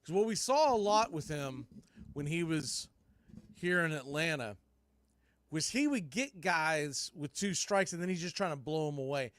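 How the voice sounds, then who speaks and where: A male baseball broadcaster with a notably high voice for a man. He works as play-by-play announcer and fan show host, talking through the game in radio style. The sound is dry, with very little room in it. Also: animated, moderate pace, a bit bright, no echo.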